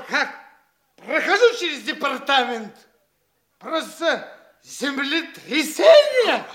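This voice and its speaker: A man's voice: very high voice, sharp tone, reverberant, exaggerated voice. An actor playing a boastful, tipsy young clerk posing as a grandee in a theatrical radio play, stammering and repeating himself as he brags. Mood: grandiose, blustering. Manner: bragging, swooping, drawn-out, stammering and repetitive.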